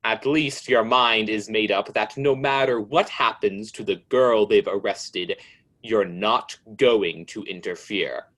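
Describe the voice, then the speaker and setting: A man playing an ominous, all-knowing narrator of a radio mystery, speaking in a deliberate, insinuating way as he taunts a guilty woman. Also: fairly low pitch, a bit bright.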